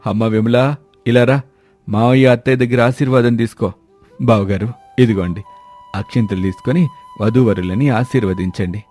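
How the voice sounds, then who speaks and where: A man's voice: mid-range voice, steady tone, moderate pace, long pauses, a little mellow, soft background music, lightly acted. A man voicing a considerate bride's father who speaks courteously and soothingly to his relatives. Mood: conciliatory. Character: considerate, conciliatory brother-in-law, father of the bride.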